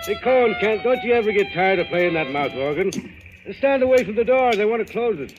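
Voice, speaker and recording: An actor playing a big, blunt bruiser of a bodyguard with an informal manner in 1940s radio style. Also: male voice, high voice, expressive, a little fast. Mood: annoyed. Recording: dull old radio recording with music.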